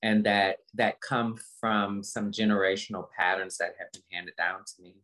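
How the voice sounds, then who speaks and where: A low-pitched woman speaks as a guest in an interview over a video call. She talks reflectively about personal experience, leaning on repetition and emphasis to land each point. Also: little pitch movement, normal speed, a bit bright.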